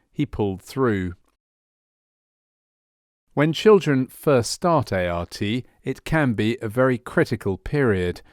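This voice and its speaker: A man reading scripted narration for a medical training film in a measured, clinical way. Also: medium pitch, dry recording, clean studio sound.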